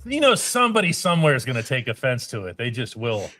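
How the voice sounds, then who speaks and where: A man's voice: mid-range voice, very animated, fast talker, bright, forceful tone, dry recording, studio quality. A man hosting a live sports podcast, reading viewer comments in a firm, emphatic way. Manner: firm, emphatic, repeats himself for stress.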